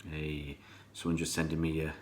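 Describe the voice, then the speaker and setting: A man's voice: very deep voice, monotone, moderate pace, a little mellow, a little hiss or hum. A middle-aged man chatting casually on a live stream, greeting viewers by name and rambling between comments. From born in Pakistan, raised in England. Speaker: fan channel live streamer.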